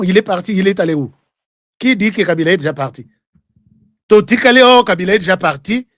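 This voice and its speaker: A man's voice: high voice, no echo, clean studio sound. A man with a Congolese accent hosting a live political commentary show, arguing with rhetorical questions and repeated phrases, switching between two languages. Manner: animated, argumentative, rhetorical questions and repetition. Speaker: online political show host. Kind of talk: live political commentary to viewers, mixing French and Lingala.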